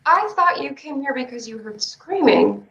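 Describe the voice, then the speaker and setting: A woman playing a stubborn, accusing child spacewalker in a theatrical script reading, measured and pointed. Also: high-pitched, big pitch swings, fairly bright tone, reverberant, lo-fi sound, full character voice. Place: remote online stream.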